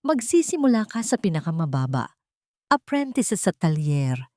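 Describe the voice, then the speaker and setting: A woman voicing a stern, exacting male boss in an audio drama, measured and commanding. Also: lowish voice, very animated, mellow, no room sound, clean studio sound.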